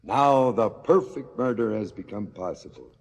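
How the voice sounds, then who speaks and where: A man's voice: on the low side, animated, moderate pace, darkish tone. An actor playing a calculating corrupt policeman in a stylised radio drama, declamatory and coolly menacing, with clipped retorts. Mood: coolly accusing. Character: smug, calculating corrupt policeman muscling in on racket.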